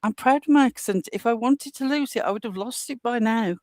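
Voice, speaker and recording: A woman with a Midlands English accent, defending herself in a panel argument, explaining her point at length in a measured way. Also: on the high side, darkish tone, dry recording, studio quality. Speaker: panel guest. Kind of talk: heated panel discussion.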